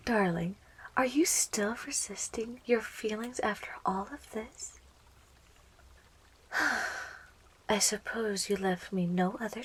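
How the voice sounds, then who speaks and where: Woman doing a clingy lovesick plant monster girl for asmr, super breathy whispers, slow n teasing, sweet but possessive. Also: mid-range voice. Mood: possessive, insistent. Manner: slow, coaxing, teasing, giggly, doting. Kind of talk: intimate ASMR roleplay, largely whispered. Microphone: close ASMR microphone.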